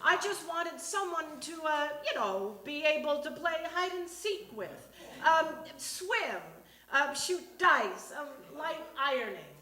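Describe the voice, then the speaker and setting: A woman performing a comic personal monologue on stage, in a halting, conversational way with dramatic pauses. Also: high-pitched, sing-song, a crowd in the background. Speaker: reader at a live storytelling show. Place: small theatre stage. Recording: echoey live stage recording.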